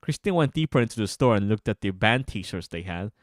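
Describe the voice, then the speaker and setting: A man's voice: lowish voice, animated, a little fast, no echo, clean studio sound. A man streaming, reading narration from their own visual novel aloud with casual asides. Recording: music bed behind voice. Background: guitar music.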